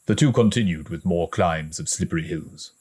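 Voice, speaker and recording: A man reading a story aloud as an audiobook narrator, in a dramatic, expressive storytelling manner. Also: deep voice, moderate pace, slightly rough. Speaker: audiobook reader.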